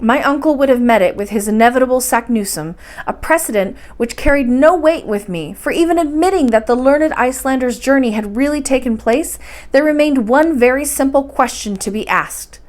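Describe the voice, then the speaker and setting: Higher-voiced woman reading a storybook. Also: a little fast. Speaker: storybook reader. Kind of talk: audiobook-style storybook narration.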